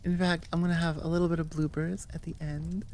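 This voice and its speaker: A woman's voice: fairly low pitch, little pitch movement, fast talker, darkish tone, a little hiss or hum. A woman voice actor and channel host chatting casually and off the cuff to her listeners, rambling and enthusiastic. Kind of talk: casual unscripted chat to listeners.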